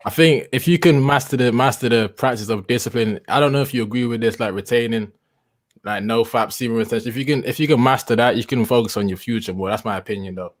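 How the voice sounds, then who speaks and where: A man's voice: mid-range voice, quick pace. A young man speaking casually and earnestly about his own habits. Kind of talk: casual chat.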